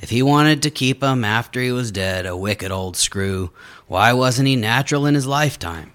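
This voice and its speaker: A man's voice: medium pitch, animated, moderate pace, fairly bright tone, natural voice. A man playing a greedy, callous old rascal of a junk dealer in a live dramatic reading. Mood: gruff, callous. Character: greedy gray-haired old rascal running a squalid junk shop.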